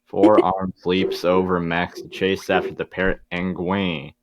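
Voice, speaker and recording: A man's voice: low voice, flat delivery, measured pace. A man narrating a table read, reading stage directions informally and stumbling over the script at times.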